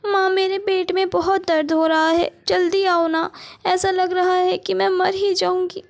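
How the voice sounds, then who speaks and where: Lady putting on a little girl whining in pain, high and loud. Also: flat delivery, measured pace, gravelly, full character voice.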